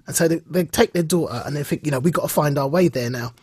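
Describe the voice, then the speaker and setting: A man co-hosting a podcast, recounting a show in casual, animated chat and repeating phrases for emphasis. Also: a little high, fast talker, breathy edge, no room sound, studio quality. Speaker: podcast co-host.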